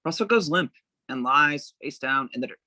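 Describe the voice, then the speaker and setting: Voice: medium pitch, animated, normal speed, pushed and bright, no room sound. Dry-sounding table-read narrator of stage directions.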